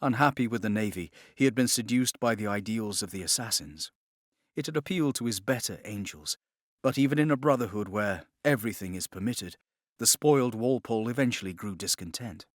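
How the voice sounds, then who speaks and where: A man's voice: fairly low pitch, lively intonation, a little fast, no echo. Male audiobook narrator, close and dry. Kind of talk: scripted dramatic narration of a novel.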